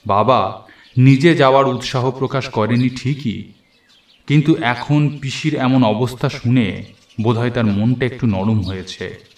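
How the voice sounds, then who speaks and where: A man narrating a first-person cartoon story in an expressive, dramatised storytelling way. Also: mid-range voice, measured pace. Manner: expressive storytelling with acted dialogue. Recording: echoey, with noisy train and storm ambience.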